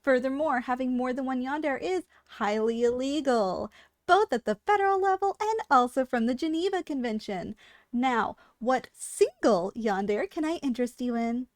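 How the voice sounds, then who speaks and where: A high, clearly feminine voice in a very dry recording, a woman acting as a businesslike shop clerk in an ASMR roleplay. She gives formal, courteous sales patter to the customer. Her delivery leans on dry understatement, keeping everything professional. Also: lively intonation, normal speed, no echo. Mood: brisk, professional. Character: polite, businesslike female shop clerk selling dangerous yandere girls. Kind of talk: scripted ASMR roleplay addressed to the listener.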